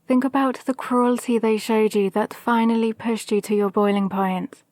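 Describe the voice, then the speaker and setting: Girl doing an asmr villain sorceress mentor, kinda unhinged but calm, gently bossy, really slow talking, close dry mic. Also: a little high, no echo. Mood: patient, instructing. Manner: gently dominant, condescending, measured. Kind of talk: ASMR roleplay with whispered asides. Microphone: close, dry microphone.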